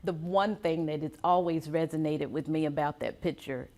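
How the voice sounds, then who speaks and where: A woman's voice: low voice, steady tone, moderate pace, dark tone. An American woman in her fifties sharing personal recollections as an interview guest. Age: 50s.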